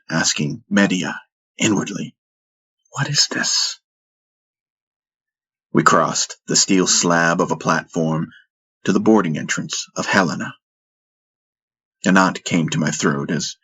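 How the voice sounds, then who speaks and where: Male audiobook narrator, very deep pitch, roughened husky tone, measured dramatic delivery. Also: sharp tone. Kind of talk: first-person scripted fiction narration.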